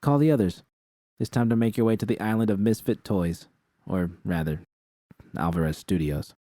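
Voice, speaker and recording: A wry young woman in a cyberpunk audio story, played by a man. The voice sits somewhat raised over a mellow base, with a dry, teasing and casual delivery. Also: on the low side, normal speed, no room sound, natural voice. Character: Judy, wry, foul-mouthed young woman, studio owner. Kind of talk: character dialogue in audio fiction.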